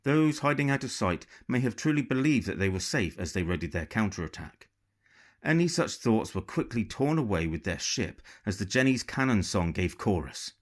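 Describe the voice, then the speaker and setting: A man reading a novel as a dramatic, measured and expressive audiobook narration. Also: fairly low pitch. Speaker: author reading own novel.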